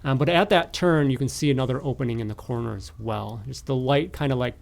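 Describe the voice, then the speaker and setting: A man narrating as game master of a tabletop game, improvising with halting, hesitant phrasing. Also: mid-range voice, gravelly.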